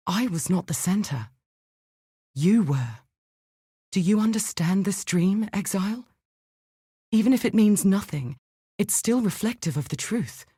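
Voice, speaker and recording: An actress playing an earnest, thoughtful scholar in exile in a video game, speaking in a measured, articulate and grave way. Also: female voice, on the low side, dark tone, husky, no echo, studio quality, full character voice. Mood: awed, intense. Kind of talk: scripted dramatic game dialogue.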